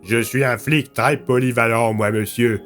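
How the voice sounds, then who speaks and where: An actor playing an easygoing, joking police lieutenant in an audio drama, casual and wry. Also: male voice, medium pitch, steady tone, unhurried, fairly bright tone, soft background music, natural voice.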